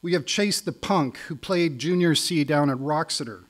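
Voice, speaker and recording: A middle-aged Canadian man performing as a spoken word poet on stage, with a wry, deliberate delivery. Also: a little high. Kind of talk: live poetry recital with casual lead-in.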